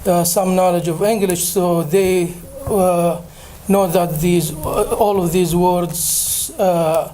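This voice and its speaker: A man presenting at a conference, answering audience questions hesitantly with many filler pauses. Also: sits high, fairly even intonation, mellow. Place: conference room.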